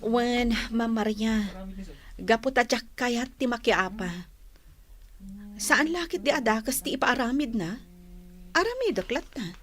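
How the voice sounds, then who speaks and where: Gentle, high-voiced working woman. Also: animated, unhurried, pauses often. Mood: patient. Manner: mild, agreeable. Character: gentle, patient, conflict-avoiding young working woman Elisa. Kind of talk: Philippine radio drama acting.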